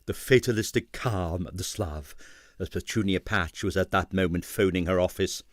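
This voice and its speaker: A man's voice: deep voice, moderate pace, dry recording, studio quality. A man narrating a comic novel as a scripted, dramatic audiobook reading.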